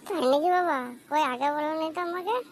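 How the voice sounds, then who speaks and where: A woman's voice: sits high, fairly quick, noisy background, lightly acted. A woman voicing a naive, curious young son in a comic cartoon, with a childlike questioning manner. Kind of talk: amateur comic cartoon dubbing.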